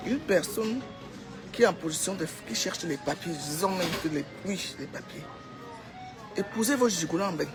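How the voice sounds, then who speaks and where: A woman's voice: fairly low pitch, expressive, normal speed. Exhorting Cameroonian woman over music. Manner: exhorting, repeats phrases for emphasis. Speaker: social media commentator. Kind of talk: informal opinionated monologue to followers.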